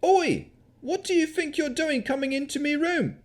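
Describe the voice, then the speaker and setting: A man putting on a brash, high-voiced yokel lad, a surly young wizard. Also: normal speed. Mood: irritated. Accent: British country yokel. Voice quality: brash, rough-spoken.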